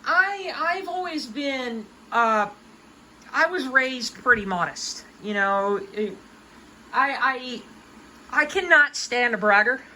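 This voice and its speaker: Woman, about 20, sharp bright tone, slightly high pitch, casual emphatic rant, folksy wording, slight background noise. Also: expressive, slow, low-quality recording. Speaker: hobby collector giving advice. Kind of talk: casual unscripted rant to viewers.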